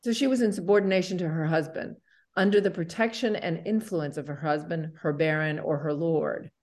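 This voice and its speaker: A woman's voice: low voice, fairly even intonation, brisk, darkish tone. A woman co-hosting an educational discussion, explaining things in an earnest manner. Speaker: show co-host.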